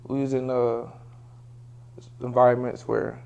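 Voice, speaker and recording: A man's voice: mid-range voice, flat delivery, a little slow, creaky, mellow, smooth, dull audio. A man in his late twenties, a comedian guest, talking in laid-back slang and laughing often. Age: 20s. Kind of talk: casual chat.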